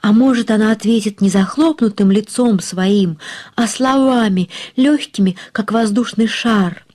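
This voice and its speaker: A woman narrating a literary story as an actress would, with expressive, reflective and deliberate phrasing. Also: medium pitch.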